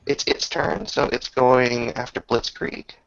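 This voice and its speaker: A man narrating an improvised tabletop game as game master, halting with drawn-out pauses as he describes the action. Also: medium pitch, vocal fry, a bit bright, no room sound. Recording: dull lo-fi voice recording.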